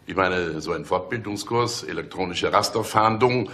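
A deep-voiced, smug police chief. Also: male voice, moderate pace, pushed and bright. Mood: mock concern. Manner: mock-solemn, condescending. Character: smug, teasing male police chief, middle-aged. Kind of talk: German radio play acting.

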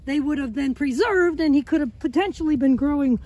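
A woman's voice: high-pitched, brisk, darkish tone. A middle-aged woman hosting an on-site farm interview, chatty and prompting, speaking straight to the audience. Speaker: extension host interviewer. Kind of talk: on-site interview and narration to viewers. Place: outdoors on a farm.

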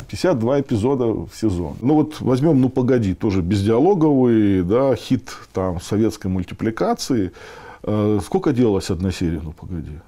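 A man in his sixties giving conversational interview answers, explaining haltingly and posing rhetorical questions. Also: medium pitch, dark tone. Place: meeting room, indoors.

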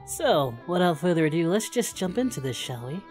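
A man's voice: a little high, sing-song, fairly quick, darkish tone, faint music behind. A man voicing a parody of an anime martial-arts hero, cocky, playful and flirty, in improvised banter. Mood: flirty, sly. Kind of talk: improvised in-character gaming banter.

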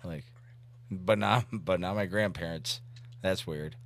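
A man in his thirties hosting a comedy podcast, chatting casually in a rambling, halting way with crude humor. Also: sits low, gravelly. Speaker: comedy podcast host making a prank call. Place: indoor room at a desk.

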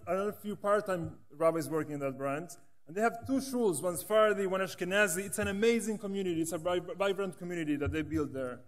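A man gives a conference speech from the podium. His voice sits high for a man. He tells his story earnestly into the podium microphone of a large hall. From Latin America. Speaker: rabbi, branch director.

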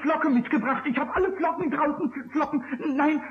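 A very high-pitched, mischievous little kobold in a dull old radio play recording. He is excitable and childlike, tumbling over his words and slipping into sing-song rhymes. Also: fairly quick. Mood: restless, pleading. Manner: exclaiming, stammering, sing-song rhyming. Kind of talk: 1960s German radio play acting.

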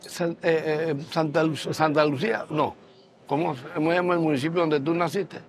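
A man's voice: a little high, fairly even intonation, normal speed, crowd noise. An older man from Venezuela hosting a casual interview at a dining table, echoing his guests' answers back. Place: bright room at a dining table. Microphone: lapel mic.

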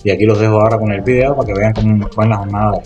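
A man with a slightly low voice rattles through a casual voice-over of his own diving trip, talking quickly to his viewers. He restarts his sentences often and wanders from point to point. Loud music plays under everything he says. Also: fairly even intonation, muffled recording. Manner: rambling, restarts often, addresses viewers directly. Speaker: spearfishing vlogger.

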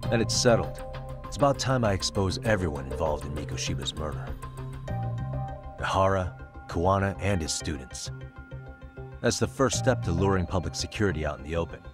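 Low-voiced, guarded detective. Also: male voice, animated, fairly quick, long pauses, music in the background. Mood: serious, resolute. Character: guarded, determined male private detective in his thirties. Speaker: voice actor, video game English dub. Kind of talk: video game cutscene dialogue.

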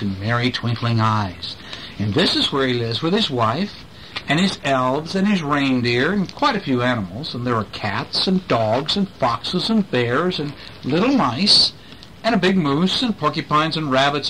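A middle-aged man reads a bedtime storybook aloud to a child. His bright voice moves up and down in a lively, expressive way as he stops to explain the pictures. It is a home recording with faint background noise. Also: medium pitch, poor audio.

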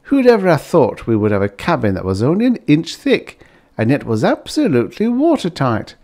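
Storybook pioneer husband acted by a male reader: upbeat, boastful, earnest, very animated intonation. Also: fairly high pitch, a little fast, pitched above the performer's own voice. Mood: proud.

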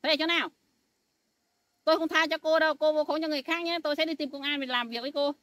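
A woman's voice: a little high, fast talker, dry recording, distant mic, close to an everyday voice. A woman playing a jealous young wife who talks in an insistent, accusing, threatening way in an amateur family skit. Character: jealous young wife, sharp-tongued and stubborn.

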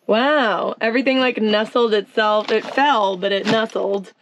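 A woman's voice: medium pitch, measured pace, slight background noise, low-quality recording. A young adult woman, chatting casually and narrating as a travel vlogger. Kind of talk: casual vlog chat and narration. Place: inside a camper van and outdoors.

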